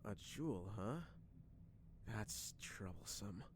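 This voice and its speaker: A man playing a brash, self-deprecating young man in an animated, expressive anime dub style. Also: lowish voice, takes it slowly, background noise. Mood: pondering.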